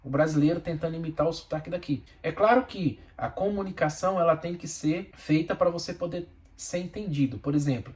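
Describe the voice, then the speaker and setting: A man's voice: fairly high pitch, brisk, hardly any pauses, darkish tone. A Brazilian man speaking Portuguese with a Brazilian accent, a bus driver giving his plain-spoken, opinionated views in a casual vlog and repeating himself for emphasis.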